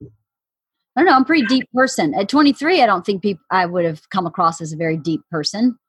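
A woman guest in a casual chat, agreeable and quick to laugh, reflective when asked about herself. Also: medium pitch, breathy edge, no room sound. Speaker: podcast guest.

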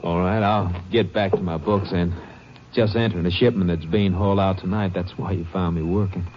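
A folksy sawmill clerk, acted by a man with a lowish voice. He is easygoing and obliging, in an old radio western with muffled sound and faint hiss. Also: fairly even intonation, a little fast, natural voice. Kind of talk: 1950s radio drama acting. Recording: muffled, faintly noisy 1950s radio recording.

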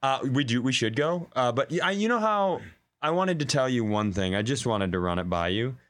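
A man's voice: mid-range voice, lively intonation, a little fast, fairly bright tone. A man co-hosting a comedy podcast, telling stories in a casual, incredulous, profane way with riffing asides. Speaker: stand-up comedian. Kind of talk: casual comedic storytelling and banter.

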